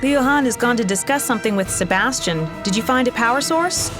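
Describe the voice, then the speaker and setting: A woman's voice: a little high, fairly quick, over music, lightly acted. A woman playing a helpful, businesslike crew officer in a sci-fi game. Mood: efficient.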